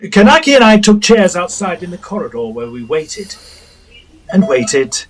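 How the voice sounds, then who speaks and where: An actor playing an earnest gentleman ghost hunter who narrates a period drama in a husky, formal, dramatic storytelling manner. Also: high voice, very animated, moderate pace. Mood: narrating.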